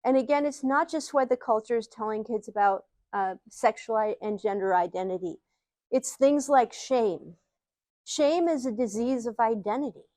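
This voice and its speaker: Woman, middle-aged, Ohio Midwestern accent, fairly high voice, closely dry sound. Earnest live teaching speaker who poses questions to the listeners. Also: normal speed, dark tone, no room sound. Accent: Ohio Midwestern American. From Ohio, USA. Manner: earnest, uses rhetorical questions. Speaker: speaker, psychologist. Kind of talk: live teaching talk to an audience.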